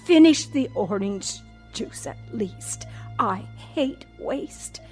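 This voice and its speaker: Elderly rich lady, slow deliberate halting speech, breathy, coldly condescending, quietly menacing, audio drama. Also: on the high side, animated, soft background music. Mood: sweetly insistent. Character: cold, genteel old rich woman recovering from stroke, quietly menacing.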